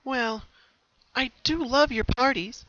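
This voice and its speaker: Young sister pony, a male reader's put-on voice: high, soft-hearted, hesitant, questioning, for story narration. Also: slow, no room sound, dull audio, heavily acted. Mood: unsure. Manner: tentative, unsure questioning.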